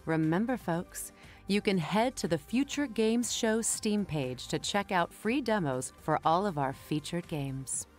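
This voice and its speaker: Low-pitched woman hosting a showcase. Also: lively intonation, measured pace, soft background music. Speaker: showcase host. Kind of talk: scripted presenting.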